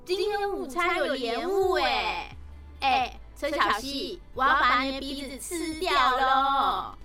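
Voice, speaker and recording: A mocking schoolgirl played by a woman in an audio drama, teasing in a very high, bright voice. She draws out her words and sounds slightly away from the mic. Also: expressive, takes it slowly, distant mic, soft background music, exaggerated voice.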